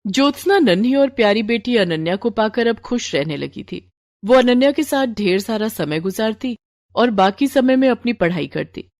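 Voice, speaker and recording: A woman's voice: a little high, expressive, normal speed, darkish tone, dry recording, clean studio sound. A woman reading a scripted story as its narrator.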